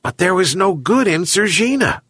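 Storybook old fisherman, voiced by a man: emotional, quick to flare, high and wildly animated. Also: husky, no room sound, studio quality, full character voice. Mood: complaining.

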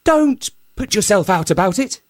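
A sly, courtly hare with a high, wheedling voice, as a man puts him on in a told storybook tale. He sounds bright and lively, bouncing up and down in pitch as he flatters and coaxes. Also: moderate pace, no echo, clean studio sound.

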